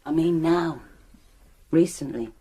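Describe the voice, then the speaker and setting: An actress playing a wounded, defiant wife in a radio drama, pointed and challenging. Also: female voice, deep voice, slow, dark tone, breathy edge, full character voice. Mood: accusing.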